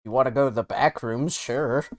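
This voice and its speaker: A man's voice: medium pitch, moderate pace. Joking young man in his twenties. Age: 20s. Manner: joking, teasing, many fillers. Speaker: podcast host. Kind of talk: casual chat.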